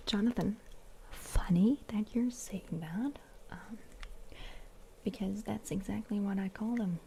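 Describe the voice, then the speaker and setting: Slow, gravelly whispering woman. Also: medium pitch, dark tone, slight background noise. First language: German. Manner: whispering, reading out and answering chat. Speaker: ASMR streamer. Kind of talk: whispered ASMR livestream chat. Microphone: sensitive ASMR microphone.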